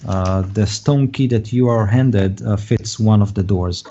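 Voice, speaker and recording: A man's voice: lowish voice, a little slow, warm tone, highs cut off. A man with an Israeli accent narrating a tabletop game as game master, hesitant and full of fillers.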